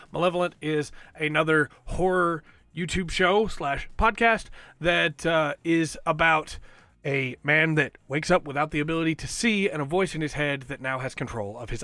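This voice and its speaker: A man with a slightly worn, hoarse-edged voice talks through a casual solo review with eager, rambling energy, full of fillers and restarts. His tone is bright and forward, sitting a touch above typical pitch, and the recording is close and dry. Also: no room sound. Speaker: podcast host reviewing an audio drama.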